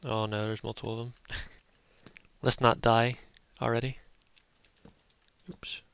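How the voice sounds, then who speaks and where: A young man giving relaxed, chatty gameplay commentary, thinking aloud as he plays. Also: medium pitch, measured pace, gravelly, dull audio, slight background noise. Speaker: gaming YouTuber.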